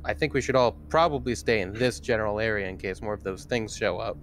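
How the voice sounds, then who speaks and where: A man's voice: fairly low pitch, fast talker, a little hiss or hum. A man talking casually as a player at a tabletop roleplaying game.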